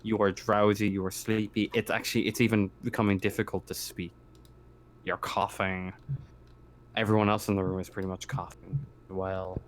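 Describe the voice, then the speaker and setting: A man's voice: on the low side, little pitch movement, moderate pace. A man improvising narration as game master of a tabletop horror roleplaying game. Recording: clear background noise with clicks.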